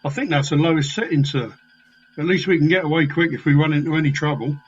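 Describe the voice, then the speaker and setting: Man playing a highish-voiced casual crewman. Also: quick pace, faint music behind, close to an everyday voice. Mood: wry. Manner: informal, matter-of-fact. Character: casual, wry working-class crewman. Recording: dull lo-fi recording.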